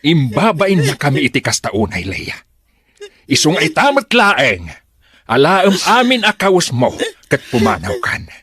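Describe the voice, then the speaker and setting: Radio drama role: stern scolding father, high voice, highly animated delivery, bitterly let down. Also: measured pace, husky, full character voice. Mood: angry, disappointed. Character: stern, angry, disappointed father disowning his daughter. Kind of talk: Ilocano radio drama acting.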